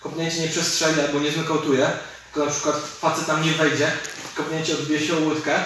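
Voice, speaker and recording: A man's voice: fairly high pitch, completely flat delivery, quick pace, a bit bright. A man in his thirties, a martial arts coach, giving hands-on instruction and explaining techniques as he demonstrates them. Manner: explains while demonstrating moves. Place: large indoor gym with fighting cage. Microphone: camera mic from several metres away. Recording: distant mic, very echoey hall, noisy.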